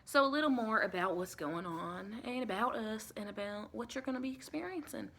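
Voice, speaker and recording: Friendly female vampire mentor, acted by a woman, ASMR roleplay. Bright-toned, soft-spoken, folksy, colloquial and reassuring. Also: fairly high pitch, expressive, fairly quick. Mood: chatty, matter-of-fact. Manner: chatty, reassuring, colloquial, rambling. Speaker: ASMR performer.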